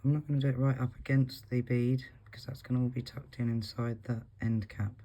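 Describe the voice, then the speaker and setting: Husky-voiced man giving a craft tutorial. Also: medium pitch, brisk. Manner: calm, counts beads aloud, talks while working. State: husky from a recent flu. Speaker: beading tutorial host. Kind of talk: step-by-step craft instruction.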